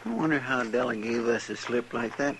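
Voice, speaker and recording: An actor playing a simple, eager frontier deputy with a rural American Western drawl, deferential and plain-spoken in an old-time radio drama. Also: male voice, mid-range voice, brisk, close to an everyday voice. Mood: hushed, sorrowful. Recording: noisy vintage radio drama with effects.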